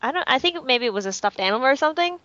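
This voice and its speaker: A woman with a fairly high voice chats casually on a fan podcast panel. The recording is dry, with no room sound, and a little dull. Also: lively intonation, rapid-fire, a bit bright, no echo.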